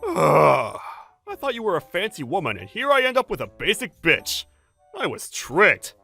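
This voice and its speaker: A woman's voice: fairly low pitch, huge pitch swings, normal speed, creaky, sharp tone, breathy edge, faint music behind, exaggerated voice. A woman voicing an arrogant, whiny young husband in a manga dub, scornful and exaggerated. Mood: contemptuous. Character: arrogant, whiny, cheating young husband obsessed with fancy food.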